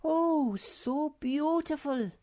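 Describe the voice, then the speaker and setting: A dainty little female storybook creature, speaks in a very high, swooping voice with creaky edges. She is sweet, childlike and easily excited, drawing her words out in a hesitant way. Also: a little slow, exaggerated voice. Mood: delighted.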